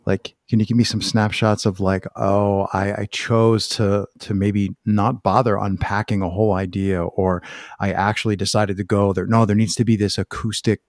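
A man with a fairly low voice, recorded very dry, interviews in a thoughtful, conversational way. He feels his way through each question with hesitant, exploratory phrasing. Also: level tone, normal speed, dry recording.

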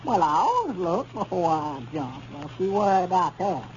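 On a muffled, noisy 1930s radio recording, a naive old country storekeeper. His voice is high, with a rural Arkansas twang, and he rambles along folksily, taking everything literally. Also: sing-song, moderate pace. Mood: earnest, literal. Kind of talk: 1930s radio comedy acting.

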